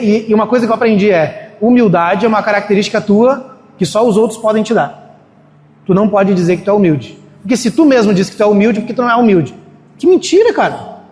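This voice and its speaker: A man's voice: sits high, a little mellow. A man preaching a sermon in a church in a casual, storytelling way, acting out the voices of people he quotes. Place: church hall. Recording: echoey hall, faint ambience.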